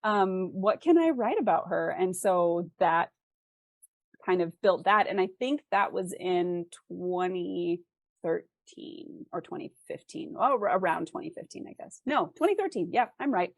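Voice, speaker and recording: A woman's voice: lowish voice, animated, a little slow, creaky, dry recording. A talkative middle-aged woman chatting casually and expressively about her own work as a fantasy author being interviewed.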